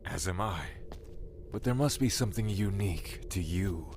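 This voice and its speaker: A man playing a solemn, literal-minded death spirit in an audio drama, grave and deadpan. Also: deep voice, normal speed, background noise. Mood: grave, insistent.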